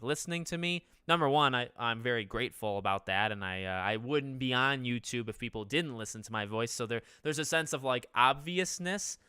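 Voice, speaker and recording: A man's voice: medium pitch, bright, forceful tone, smooth, dry recording, clean studio sound. A young man with a nasal voice, hosting a casual gaming live stream from his living room, rambling and asking his chat questions. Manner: conversational, rambling with restarts, asks chat questions. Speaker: gaming YouTuber streaming host. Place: living room with couch behind.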